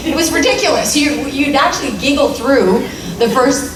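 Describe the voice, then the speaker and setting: Middle-aged woman, voice actor on a con panel, animated storyteller; distant mic in a noisy, echoey hall. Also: sits high, moderate pace. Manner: animated storytelling, acting out her old reactions. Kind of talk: casual panel chat. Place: convention hall.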